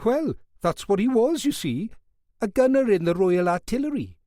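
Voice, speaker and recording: A man voicing a chatty Welsh prison guard with a high voice who is a keen boxing fan. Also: very animated, mellow, no echo, clean studio sound. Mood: enthusiastic. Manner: genial, chuckling, quick to talk.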